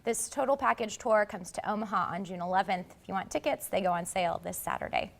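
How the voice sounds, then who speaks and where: A woman's voice: medium pitch, quick pace, gravelly. A woman with an American accent anchoring a local newscast, mixing scripted news reading with co-anchor chat.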